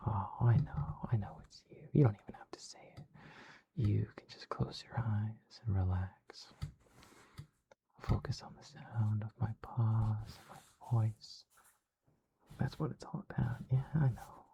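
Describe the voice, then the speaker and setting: A man whispering ASMR in the persona of a dog, with soft, coaxing, soothing pet-talk. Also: lowish voice, steady tone, unhurried, gravelly, lo-fi sound.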